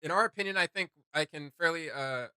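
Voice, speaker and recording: A man co-hosting a reaction video, talking in a casual, animated, rambling and playfully emphatic way. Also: a little high, fairly quick, clear, resonant tone, no echo, studio quality. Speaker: co-host of a reaction video channel. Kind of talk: casual chatty reaction commentary.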